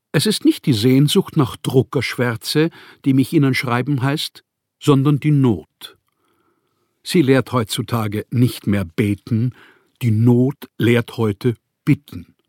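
An actor playing a proud, bitter, needy young writer in a German radio play, speaking in measured, formal literary phrases. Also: male voice, medium pitch, a little mellow, dry recording, clean studio sound. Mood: pleading, formal.

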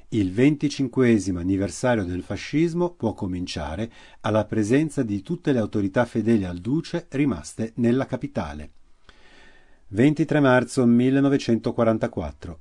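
A man with an Italian accent giving a measured, dramatic historical narration for radio theatre, slowing and dropping his voice for emphasis. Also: medium pitch, a little mellow. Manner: measured, slows and drops for emphasis. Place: radio studio.

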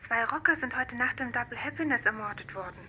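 A woman playing a pushy young sister on the phone in a radio drama, brisk and persuasive. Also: on the high side, bright, forceful tone, slight background noise. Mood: urging. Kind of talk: naturalistic radio drama acting.

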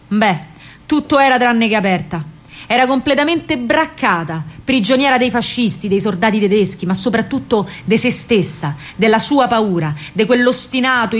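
An actress playing a spirited young partisan woman with a Roman accent, telling her story in an expressive, colloquial radio monologue. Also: medium pitch, natural voice. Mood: grave, impassioned. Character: young female partisan courier, lovestruck and spirited. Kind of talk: theatrical radio monologue, dramatic first-person storytelling.

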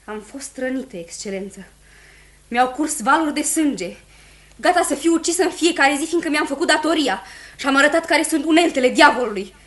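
A sharp, high-voiced menacing young accuser. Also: female voice, expressive. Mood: wounded, threatening. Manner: dramatic, defiant. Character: wounded, menacing young woman feigning possession, imperious and hysterical. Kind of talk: intense radio drama acting.

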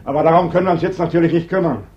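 A man's voice: fairly high pitch, racing, lightly acted. An actor in a German radio play playing an insistent, reproachful militant man. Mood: cold, insistent. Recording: dull, slightly noisy radio play recording.

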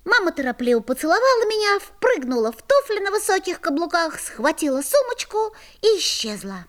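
A woman playing a lively, curious schoolboy who narrates a children's audio play in an expressive, boyish way. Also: very high-pitched, brisk. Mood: lively, wondering.

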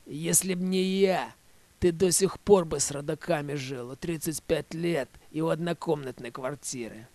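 A man's voice: a little high, moderate pace, darkish tone, natural voice. A man voicing a scornful woman in her thirties who nags her man with blunt, scolding questions in an audio play. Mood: angry, berating.

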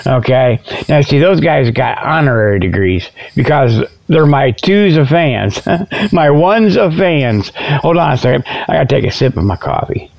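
A man's voice: medium pitch, sing-song, measured pace, vocal fry, darkish tone. A man hosting a comic radio show with a rambling, folksy, informal monologue. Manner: folksy, informal, repeatedly tags phrases with "okay". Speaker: host. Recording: dull lo-fi radio sound.

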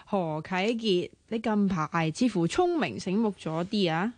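A cheeky young single woman with a Hong Kong Cantonese accent played by an actress in a radio drama. She has a medium-pitched voice in a dry studio sound, and she teases, wheedles and bosses people around with a sharp tongue. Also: a little slow, dry recording, natural voice. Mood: wheedling. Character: cheeky, bossy, playful young single woman, tight with money.

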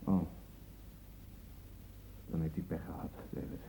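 A man's voice: very deep voice, level tone, slow pace, vocal fry, dark tone, slight background noise, heavily acted. An actor playing a proud, defiant young man under questioning in a radio play, cool, curt and self-assured. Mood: subdued, guarded. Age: young adult. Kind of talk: radio play acting in Dutch. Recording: muffled, phone-like old radio recording.

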